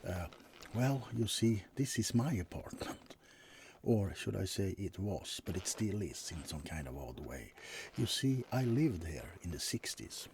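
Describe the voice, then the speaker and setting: A man playing a suave, teasing vampire in a soft, often whispered bedside ASMR roleplay, murmuring with dramatic pauses. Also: low-pitched, expressive, a little hiss or hum. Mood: chatty, indignant.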